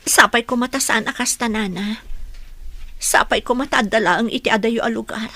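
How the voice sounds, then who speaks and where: A woman's voice: on the high side, moderate pace, sharp tone, no echo. A woman playing an emotional, caring young village woman in a sentimental audio drama, plaintive and earnest. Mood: grieving. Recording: music bed behind the voice.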